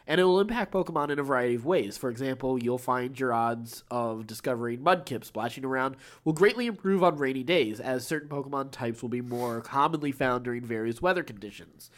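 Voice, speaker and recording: A man's voice: medium pitch, brisk, hardly any pauses. A man with an American accent co-hosting a podcast, chatting casually and reading out nerd news in an easygoing way. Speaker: podcast co-host.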